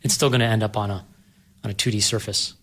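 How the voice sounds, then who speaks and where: A man's voice: fairly low pitch, steady tone, fast talker, a bit bright. A man explaining and discussing film in a casual panel conversation. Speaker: panel guest explaining animation.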